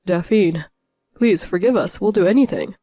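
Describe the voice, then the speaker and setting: A woman plays a whiny, blaming man in a narrated family drama. The tone is mellow and the recording dry and close, while the delivery is indignant and pleading. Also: mid-range voice, expressive, a little slow, no echo. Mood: flustered. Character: whiny, blaming ex-husband and his bossy father.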